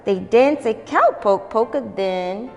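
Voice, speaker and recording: A young adult woman reads picture books aloud for children with an expressive, lilting delivery. Her pitch moves widely through each line. The recording has a long roomy echo and soft background music. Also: takes it slowly. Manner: expressive, sing-song read-aloud. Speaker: volunteer storybook reader. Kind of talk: children's storytime read-aloud.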